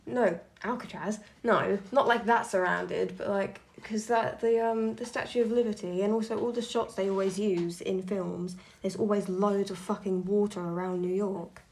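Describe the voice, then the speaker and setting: A woman's voice: medium pitch, fairly even intonation, normal speed, few pauses, a little mellow. A young woman streaming while she draws, chatting casually in a blunt, sweary, ranting way. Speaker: streamer and artist.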